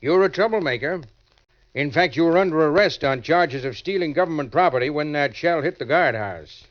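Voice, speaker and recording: An American actor playing an earnest, stern but well-meaning prison warden in an old-time radio drama, speaking formally. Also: male voice, fairly high pitch, animated, fairly quick, lightly acted. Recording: dull vintage radio recording.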